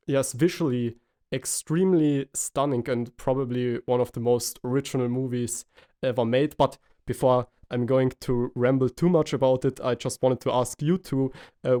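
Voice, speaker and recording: A man's voice: medium pitch, fairly even intonation, moderate pace, dark tone. A man from Austria with an Austrian accent, a native German speaker, hosting a casual film podcast and rambling with many fillers. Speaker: podcast host.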